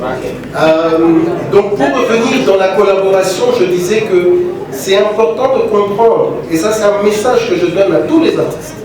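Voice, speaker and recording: A man's voice: sits high, a little slow. A man with a Congolese accent speaking earnestly at a press conference, hesitant, with many repeated words and fillers. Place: large hall at a press conference. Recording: echoey hall, distant mic, crowd noise.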